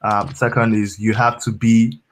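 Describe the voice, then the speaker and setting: A man's voice: on the low side, level tone, unhurried. A man moderating a panel discussion in a casual, filler-heavy way.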